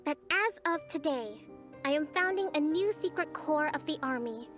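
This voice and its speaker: A woman playing a composed young island leader in a video game, gentle but stern, with formal, measured delivery. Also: sits high, expressive, soft background music. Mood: resolute, formal. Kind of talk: scripted video game voice acting.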